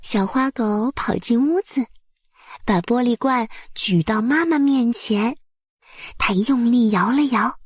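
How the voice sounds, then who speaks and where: A woman narrating a bedtime story for small children in a soft, breathy voice, with gentle, soothing and careful phrasing. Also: fairly high pitch, takes it slowly, no echo, studio quality.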